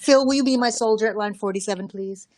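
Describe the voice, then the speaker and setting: A woman's voice: mid-range voice, fast talker, a little mellow. Woman directing a script read, slight noise. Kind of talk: directing and reading stage directions.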